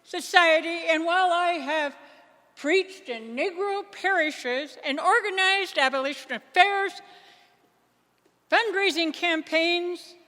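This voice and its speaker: A woman playing a dignified Quaker minister and veteran abolitionist, giving a formal, deliberate stage speech in a readers theater. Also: extremely high pitch, bright, forceful tone, heavily acted. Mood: earnest, gracious. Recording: reverberant hall.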